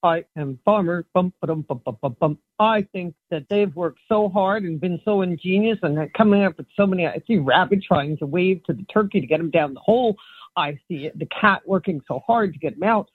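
A woman's voice: fairly low pitch, a little fast, breathy edge, dry recording. A woman playing a folksy, bumbling farmer in an improvised comic story. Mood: admiring. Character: folksy bumbling farmer, sing-song name, exclaims at mishaps. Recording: muffled, phone-like line.

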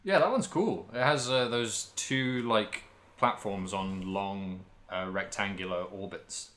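Deep-voiced man chatting, bright edge. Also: slow. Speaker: podcast co-host. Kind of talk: casual video game podcast chat.

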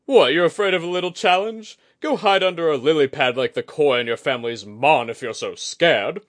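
Man acting a loud, boastful samurai commander. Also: a little high, a little fast, pitched above the performer's own voice, close to an everyday voice. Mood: mocking. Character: proud, belligerent male warrior commander, harsh and boastful.

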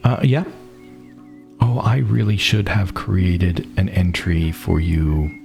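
A man's voice: deep voice, slow pace, creaky, darkish tone, soft background music. A man running an online tabletop game as game master, narrating casually.

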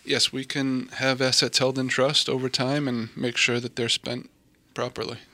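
A man's voice: mid-range voice, level tone, normal speed, pushed and bright, dry recording. An American man giving informal, matter-of-fact explanations as an expert guest in an interview. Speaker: estate planning lawyer, interview guest.

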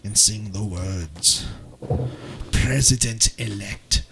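A man voicing a grieving single mother in a theatrical, solemn English accent, with drawn-out pauses, as a dramatic reading. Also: on the low side, vocal fry, noisy background, pitched below the performer's own voice. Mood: grieving, resigned. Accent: English, put on.

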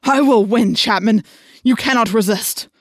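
A woman's voice: lowish voice, normal speed, no room sound, studio quality, close to an everyday voice. A woman voicing a cruel alien slug that controls a male assistant principal, threatening and commanding through gritted teeth in an audiobook story. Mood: furious, commanding.

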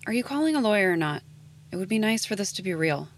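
A woman playing a sardonic, deadpan high school staffer in a naturalistic audio drama. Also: fast talker. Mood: resentful, pressing.

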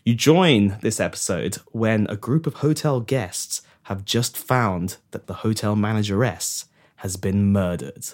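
Man putting on a low, grave radio-mystery detective. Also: a little slow. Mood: grave, suspicious. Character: grave male detective constable narrating an old-time murder mystery. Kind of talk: old-time radio mystery narration and acting.

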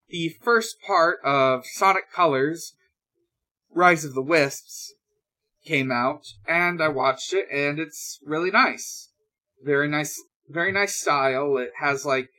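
A man hosting a podcast, chatting casually through news in a halting, hesitant way with drawn-out pauses. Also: a little high, bright, forceful tone. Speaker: podcast host.

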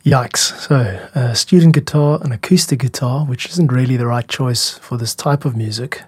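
A man hosting a podcast about making music, explaining things in a measured, candid, self-critical way. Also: mid-range voice. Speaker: music podcast host and composer.

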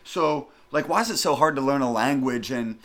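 A middle-aged man from the northern United States in casual conversation, telling stories in an animated, emphatic way and repeating phrases for effect. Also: mid-range voice, normal speed.

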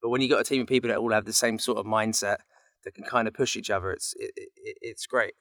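A man's voice: on the low side, fairly even intonation, fast, a bit bright, no echo, clean studio sound. A man talking casually as a voice actor guest in an interview.

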